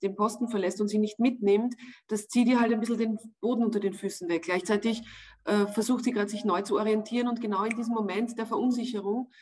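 A woman in her forties with an Austrian accent, an actress answering interview questions thoughtfully over a video call, pausing to search for words. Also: medium pitch, a little mellow. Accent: Austrian German. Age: 40s. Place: home room with wood panelling, indoors. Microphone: video call webcam.

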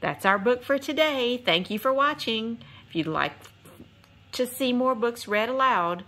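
A woman host reading a children's picture book aloud in an expressive, sing-song, rhythmic storytelling style. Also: a little high, unhurried. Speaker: read-aloud channel host.

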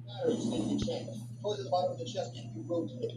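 Brief: male actor as an anxious animated cowboy toy, high pitched and lively, strong background music. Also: a little fast, natural voice, low-quality recording. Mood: flustered. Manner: fretful, frantic, then apologetic. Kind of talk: animated film dialogue.

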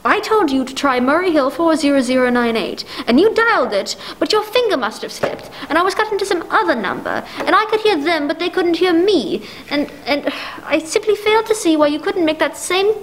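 A woman's voice: very high voice, animated, a little fast, few pauses, a little hiss or hum, poor audio. A woman playing a nervous, indignant, imperious housewife who stammers insistently, in 1940s radio play style. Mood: indignant, frantic. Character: nervous, demanding, indignant wealthy housewife alone at night. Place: dark stage, masked, speaking into prop telephone.

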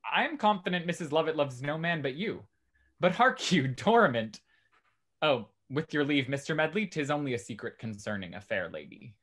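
An actor playing a genial, courteous young gentleman in love, in a Restoration stage comedy over a video call. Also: fairly high pitch, lively intonation, moderate pace. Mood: confiding. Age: young adult.

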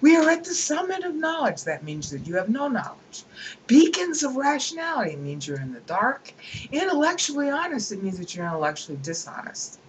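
A woman's voice: high voice, very animated, brisk, slight background noise, rough recording. A woman reading a book aloud at her computer and breaking off into emphatic, scornful commentary. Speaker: reader and commentator.

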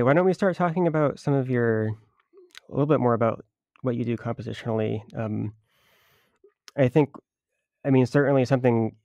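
A man hosts a music interview, feeling his way through long questions with restarts and fillers. His voice is low, dark and gravelly with a flat delivery, and it is recorded close and dry. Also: no room sound. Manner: rambling, self-correcting questions. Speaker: podcast host, interviewer.

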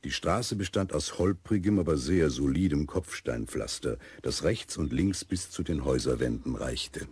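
A man with a husky voice narrating a children's radio play in a scripted storytelling style. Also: animated, moderate pace, few pauses, darkish tone, no room sound. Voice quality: very deep, husky.

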